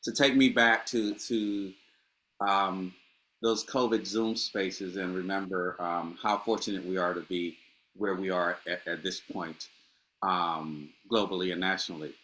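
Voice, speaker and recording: A man's voice: sits low, fairly even intonation, unhurried, pushed and bright, low-quality recording. A middle-aged man from Honduras, a playwright speaking reflectively in a panel conversation, with many restarts and fillers.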